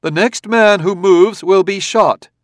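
A man doing a crisp, commanding robot. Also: a little high, expressive, no room sound, clean studio sound, voice pushed up, natural voice. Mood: cool command. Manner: crisp, confident, measured. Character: calm, commanding humanoid male robot.

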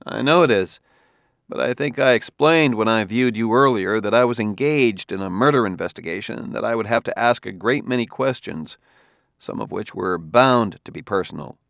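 Narrator voicing a blunt, insistent investigator; gravelly, lively, close and dry. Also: male voice, lowish voice, normal speed, no room sound. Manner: firm, pressing questions. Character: terse, persistent male detective.

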